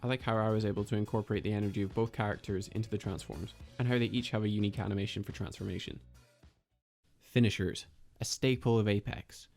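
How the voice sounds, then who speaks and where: A man giving a scripted voice-over about his own 3D animation project, in a measured, explanatory and lightly self-deprecating manner. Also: fairly low pitch, fairly even intonation, creaky, a little mellow, faint music behind. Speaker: video creator and 3D animator.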